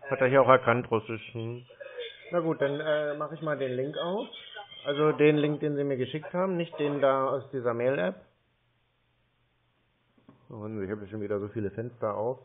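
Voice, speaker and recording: A man posing as a call target on a long phone call, talking slowly and hesitantly, stalling with hums, fillers and small complaints. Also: medium pitch, noisy background. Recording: tinny phone line.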